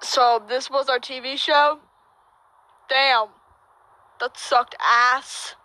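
A woman's voice: sits high, fairly even intonation, drawn-out words, pushed and bright, no echo. A woman doing a silly, profane, theatrical amateur comic dub, improvised as she goes. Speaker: amateur voice-over performer. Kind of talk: crude comic dub of a puppet show, improvised. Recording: noisy home recording with clicks.

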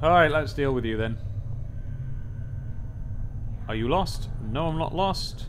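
A man's voice: fairly high pitch, expressive, moderate pace, slight background noise. A British man giving playful, wry, sardonic video game commentary, chatting casually and reading text aloud dramatically. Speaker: let's play host.

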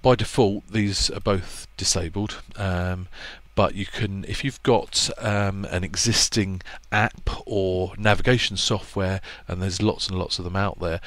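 A man giving a relaxed, plainspoken voice-over walkthrough of a product's setup, with plenty of ums and restarts. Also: low-pitched, a little slow, few pauses, no room sound. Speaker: product presenter for a marine electronics maker. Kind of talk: casual screen-recorded product walkthrough.

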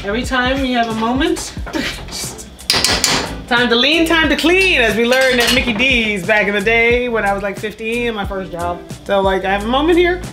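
An upbeat woman in her thirties talks through dinner prep in a small home kitchen. Her voice sits a little high and she laughs easily as she works. Background music runs under her, along with the clatter of pots and dishes. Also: normal speed. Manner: chatty, upbeat, laughs often while working. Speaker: vlogger, mom cooking dinner. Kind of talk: casual cooking vlog chat.

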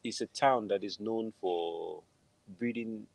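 Low-voiced Nigerian man telling his story. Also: slow, gravelly, no room sound, rough recording. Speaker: interview guest, film composer. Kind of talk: conversational personal storytelling. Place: indoor room at home, video call.

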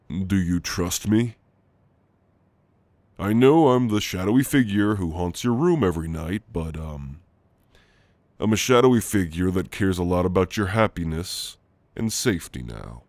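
A man playing a gentle, teasing sleep paralysis shadow demon in an intimate ASMR roleplay, speaking in a soft, halting, reassuring way. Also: deep voice, expressive, dry recording. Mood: tender, earnest. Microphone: close, dry microphone.

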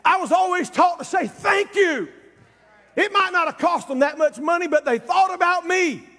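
Casting: male pastor, sixties, very high-set voice, exhorting sermon delivery, reverberant church hall. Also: moderate pace, pushed and bright. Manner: emphatic, exhorting, conversational asides. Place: large church stage, indoors.

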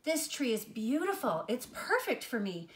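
A woman's voice: fairly high pitch, sing-song, moderate pace. Woman as a decisive, matter-of-fact storybook woodcutter. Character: businesslike woodcutters sizing up trees, matter-of-fact. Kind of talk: storybook character reading.

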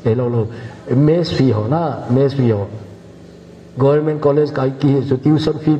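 An older man from northeast India preaching a measured, unhurried sermon as pastor in a church hall. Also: on the high side, steady tone. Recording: echoey church hall, dull lo-fi sound, faint hiss.

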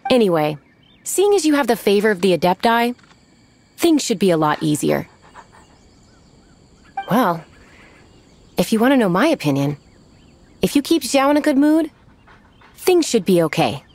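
An actress playing a composed, knowing, gently wry woman who runs an inn in a video game. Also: medium pitch, sing-song. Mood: wry, advising. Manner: measured, knowing, lightly amused.

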